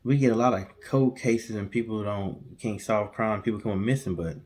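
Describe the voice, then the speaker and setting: A man's voice: on the low side, moderate pace, darkish tone. Casual American man reacting as co-host. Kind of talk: casual chat, off-the-cuff reactions.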